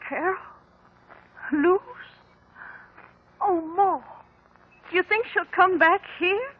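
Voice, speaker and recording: A woman's voice: very high voice, slow. An actress playing an anxious, protective young farm woman with a rural American accent in a 1950s radio drama. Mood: alarmed. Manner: fretful, earnest. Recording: muffled old radio recording.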